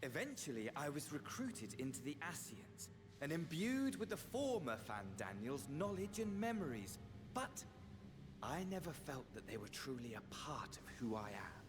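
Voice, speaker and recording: An actor playing a theatrical, mocking villain in a video game cutscene, flamboyant and sneering, sometimes dropping to a whisper. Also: male voice, on the high side, big pitch swings, moderate pace, background noise.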